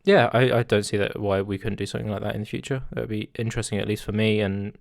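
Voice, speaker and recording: A man's voice: on the low side, fairly even intonation, fast, creaky, no echo, clean studio sound. A man in his twenties hosting a podcast in a casual, rambling chat with many fillers and laughs. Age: 20s. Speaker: podcast host.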